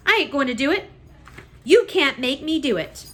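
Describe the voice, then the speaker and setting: A woman voicing a rough-spoken, stubborn young serving girl who drawls her words slowly and loudly. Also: high voice, big pitch swings, pitched above the performer's own voice. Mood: defiant. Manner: slow, loud and coarse with dropped words.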